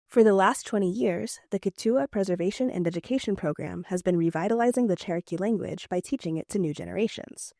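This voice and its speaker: A woman radio reporter narrating a scripted news feature in a measured, clear delivery. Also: mid-range voice, mellow, no room sound, studio quality.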